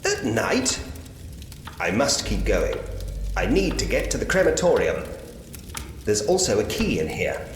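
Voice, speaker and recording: A man's voice: mid-range voice, huge pitch swings, a little slow, pauses often. A man playing an inquisitive, articulate investigator who muses to himself in a Victorian ghost story point-and-click game. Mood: troubled, resolute. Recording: roomy, long reverb, noisy ambient bed.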